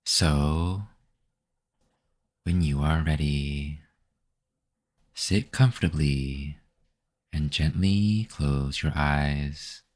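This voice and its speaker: Very deep-voiced man guiding meditation slowly. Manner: measured, instructional. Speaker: meditation guide. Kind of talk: slow guided meditation narration.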